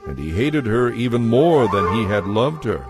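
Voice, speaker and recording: A man's voice: lowish voice, very animated, normal speed, music in the background. A man giving a solemn, scripted dramatic narration of scripture as storyteller.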